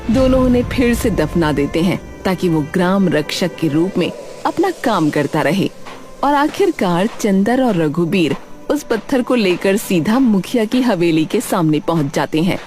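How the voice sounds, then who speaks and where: A woman's voice: lowish voice, very animated, measured pace. A woman narrating a scripted story in Hindi storytelling fashion. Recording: loud background music. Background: music.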